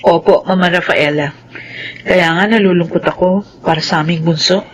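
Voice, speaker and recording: An actress playing a caring middle-aged mother and wife in a Filipino radio drama, expressive and gentle. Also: on the low side, moderate pace, close to an everyday voice. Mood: sad, respectful.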